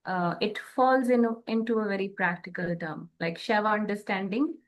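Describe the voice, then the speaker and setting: A woman in reflective spiritual conversation, speaking in short phrases with frequent pauses. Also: medium pitch. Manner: halting, pausing between short phrases. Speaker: podcast co-host.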